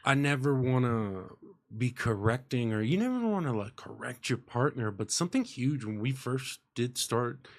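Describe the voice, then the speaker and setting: A young man from the United States hosts a podcast from a radio station studio, talking into a studio mic. He rambles in an easygoing, upbeat way and leans on fillers as he goes. His voice sits at an ordinary middle pitch for a man. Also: very animated, normal speed. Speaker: podcast host. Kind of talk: casual chat. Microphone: studio microphone with headphones.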